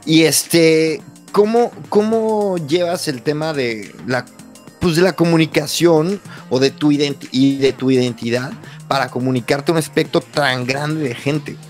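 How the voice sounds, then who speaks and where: A man hosting a podcast, asking questions in a casual, halting way and rephrasing himself as he goes. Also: fairly high pitch, faint music behind. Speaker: podcast host, interviewer. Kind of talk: casual interview.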